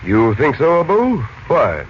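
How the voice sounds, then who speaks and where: An actor in a 1940s radio drama playing an authoritative, intent hero magician. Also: male voice, a little high, huge pitch swings, measured pace. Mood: commanding. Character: commanding, intent hero magician, an uncle to youngsters. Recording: noisy, muffled old radio recording.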